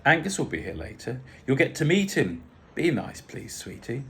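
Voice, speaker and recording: A man's voice: mid-range voice, big pitch swings, moderate pace, creaky, a little hiss or hum. A man voicing an exuberant, teasing woman in a storybook reading. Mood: coaxing. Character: bouncy, cheeky woman, mansion owner's daughter.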